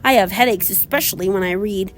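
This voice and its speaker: A woman reading aloud as a sulky, self-pitying young girl who speaks in a slow, whiny way. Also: medium pitch, natural voice.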